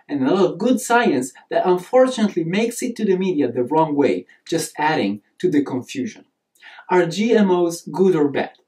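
A man in his thirties speaks with a clear Italian accent, delivering a prepared course introduction to camera. His tone is mellow and warm, and he stresses key words with earnest emphasis. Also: fairly high pitch. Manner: earnest, animated hand gestures, emphatic delivery. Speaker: nutrition course instructor. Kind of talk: scripted lecture to camera.

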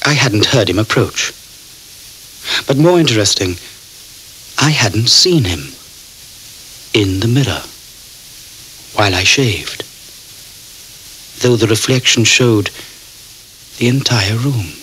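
A man's voice: animated, slow pace, long pauses, a bit bright, slight background noise, poor audio. An actor playing an anxious, educated young Englishman held prisoner, speaking formally and precisely in a radio drama. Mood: uneasy, reflective. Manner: precise, formal, highly strung. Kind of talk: radio drama acting, first-person journal narration.

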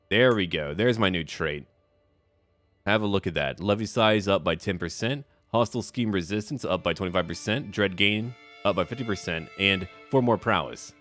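A man's voice: fairly low pitch, lively intonation, normal speed, a bit bright. A man giving casual running commentary on a strategy game, talking to himself and to the game's characters. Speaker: gameplay video host. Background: music.

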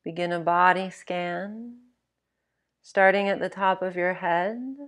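A woman leads a guided bedtime meditation at a very slow pace. Her voice sits a little low, and she gives each instruction gently and with measured care. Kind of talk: guided sleep meditation narration.

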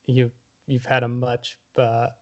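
A man's voice: mid-range voice, monotone, takes it slowly, darkish tone, highs cut off. A man hosting a casual podcast interview, talking in an informal, conversational way. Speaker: podcast host, small label owner.

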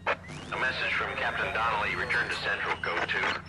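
A man's voice: on the low side, moderate pace, bright, forceful tone. A man playing a procedural police dispatcher in a video game, making clipped announcements over a radio. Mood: official. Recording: faintly noisy car radio.

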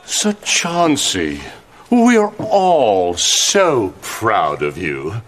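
An actor playing a middle-aged, scheming royal chancellor in a comic video game, smug and theatrical with drawn-out insinuation. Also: male voice, fairly low pitch, wildly swooping pitch, a bit bright, full character voice. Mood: falsely sweet.

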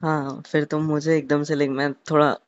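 A young man in an intimate, casual phone chat, telling a romantic scenario haltingly, repeating words and mixing in English. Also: a little high, level tone, no room sound. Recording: muffled lo-fi phone call recording.

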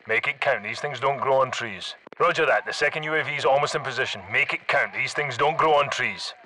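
Man acting a tough Scottish soldier, forceful and clipped. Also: medium pitch, brisk, a little hiss or hum, lightly acted, poor audio. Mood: businesslike, terse. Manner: clipped, terse radio-style military callouts. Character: tough, commanding male special forces soldier in combat. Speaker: video game squad leader. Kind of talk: military shooter game battle chatter.

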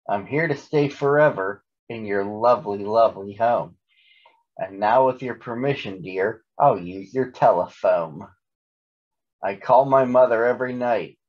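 A man's voice: on the low side, dull audio. A man voicing a smug, chatty male storybook monster who gabs breezily and is devoted to his mother. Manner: gabbing and jabbering, breezily dismissive.

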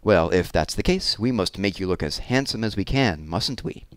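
A man's voice: lowish voice, expressive, moderate pace, dry recording, lightly acted. A man playing a suave, patronizing male hairdresser with a theatrical manner in an audiobook story. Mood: brisk, suave.

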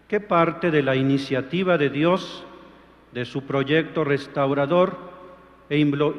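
A man delivers a homily in a fairly high voice, taking it very slowly. His phrasing is deliberate and formal, like a priest preaching, and the church rings around him with long reverb. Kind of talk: sermon, measured reflective homily. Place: large church interior. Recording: long church reverb, slightly dull sound.

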